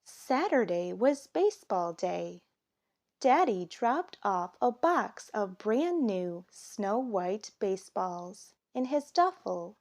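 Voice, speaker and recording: A woman reading a children's story aloud in an expressive, measured storytelling manner. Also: mid-range voice. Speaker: storybook reader. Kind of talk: children's story read aloud, scripted narration.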